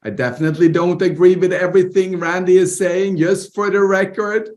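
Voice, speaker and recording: A man's voice: high voice, fairly even intonation, brisk, darkish tone. A man with a Norwegian accent, co-hosting a podcast and explaining his views earnestly, often laughing. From Norway. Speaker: podcast co-host. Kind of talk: casual conversation.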